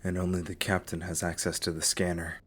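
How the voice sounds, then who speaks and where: A man plays a stranded spaceship's acting captain in a game dub. The voice is deep, with a firm, commanding and measured manner. A little noise sits behind the serious, practical delivery. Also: level tone, fairly bright tone. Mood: grim.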